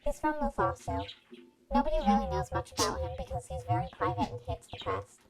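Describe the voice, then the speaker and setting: A woman's voice: sits high, sing-song, brisk, over a noisy crowd. A woman giving an amateur story reading, animatedly acting out messages as she goes, with music behind her. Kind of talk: amateur fan fiction reading.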